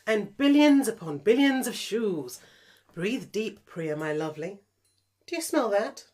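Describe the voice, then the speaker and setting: A woman's voice: medium pitch, big pitch swings, unhurried, darkish tone. A British woman playing a rich, eccentric, flippant woman adventurer in an audio drama, posh and theatrical. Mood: grandly enthusiastic. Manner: flippant, theatrical, genteel endearments.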